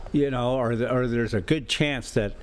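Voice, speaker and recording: A man's voice: medium pitch, unhurried. An American man chatting casually as a travel vlogger on a drive, rambling in a folksy, teasing, sarcastic way. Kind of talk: casual rambling chat and ranting.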